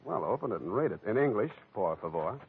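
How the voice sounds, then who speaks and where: An actor playing a wry, hard-boiled private detective with a sardonic, deadpan manner, in 1940s radio style. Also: male voice, fairly low pitch, fast talker, creaky, husky, full character voice. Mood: bemused. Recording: muffled, noisy old radio recording.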